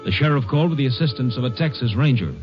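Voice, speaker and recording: An actor as a sober crime-show announcer, narrating in formal, factual old-time radio style. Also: male voice, mid-range voice, lively intonation, rapid-fire, over music, natural voice. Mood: grave. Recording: muffled old radio recording.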